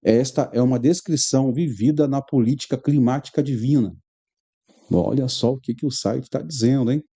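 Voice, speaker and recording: A man's voice: medium pitch, moderate pace, mellow, husky. A man with a Rio de Janeiro Brazilian accent, reading news aloud with conversational asides to his viewers as a religious commentary host.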